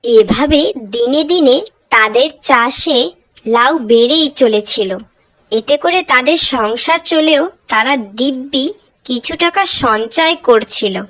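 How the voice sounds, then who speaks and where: A woman's voice: high voice, a little slow, fairly bright tone, rough recording. A woman giving scripted storybook narration for a moral cartoon tale, addressing the audience as narrator.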